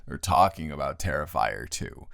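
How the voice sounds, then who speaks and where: A man's voice: rumbling low, animated, normal speed, creaky, fairly bright tone, dry recording. A man improvising a rambling, exasperated and playfully dramatic monologue as a grumpy but fond roommate, close on the mic. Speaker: audio roleplay performer.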